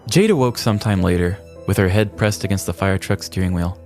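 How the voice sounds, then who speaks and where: A man's voice: sits low, fairly quick, a little mellow, faint music behind. A man co-hosting a podcast, narrating a scripted comedic true-crime story. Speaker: podcast co-host.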